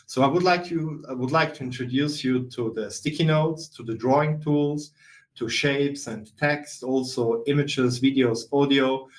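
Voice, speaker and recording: Man, Swiss accent, middle-aged, webinar presenter, steady explaining, mid-pitched voice. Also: measured pace. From Switzerland. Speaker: co-founder presenting a software webinar. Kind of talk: webinar walkthrough.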